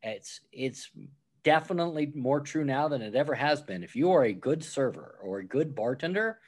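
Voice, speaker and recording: Man explaining restaurant management calmly. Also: medium pitch, moderate pace. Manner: explains with worked examples, acts out quoted replies. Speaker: author and restaurant guest. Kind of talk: conversational podcast interview.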